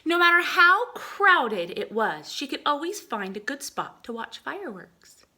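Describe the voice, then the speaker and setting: Female storybook reader, teacherly, very animated and bright, with hushed asides to young listeners. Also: high voice, measured pace. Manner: expressive, often drops into hushed asides. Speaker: teacher reading aloud to children. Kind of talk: picture-book read-aloud with asides.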